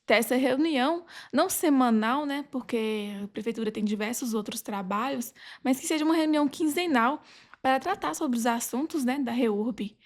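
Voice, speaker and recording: A woman's voice: high-pitched, normal speed. A woman with a Brazilian accent speaking as a podcast guest, explaining earnestly in a conversational way. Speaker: podcast guest, legal advisor.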